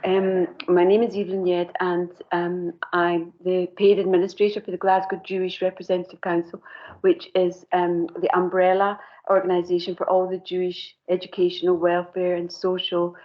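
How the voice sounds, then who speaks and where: A woman, a new committee member, speaking in measured formal remarks with some ums at a council meeting. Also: on the low side, completely flat delivery. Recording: dull lo-fi meeting audio.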